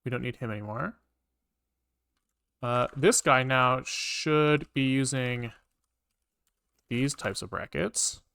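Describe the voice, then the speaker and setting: Clear-voiced man thinking aloud while coding. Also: mid-range voice, slow, long pauses, pushed and bright. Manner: informal, sweary, muttering to himself. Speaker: programmer live-streaming a coding session.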